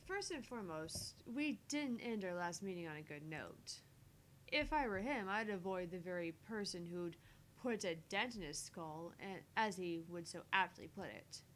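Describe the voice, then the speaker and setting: A woman voicing a sharp-tongued, sardonic heroine in a dry, taunting and theatrical read-aloud of a visual novel. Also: fairly low pitch, animated, normal speed, slight background noise, rough recording. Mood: wry. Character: sharp-tongued sardonic woman narrating her thoughts, teasing and haughty.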